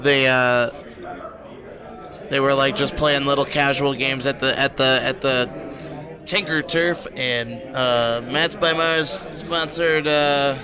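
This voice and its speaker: A man hosting a casual event stream, talking haltingly and searching for words, with questions for his guest. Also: medium pitch, steady tone. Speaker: stream host asking questions. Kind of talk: casual unscripted chat. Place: gaming tournament hall. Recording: busy crowd chatter in event hall.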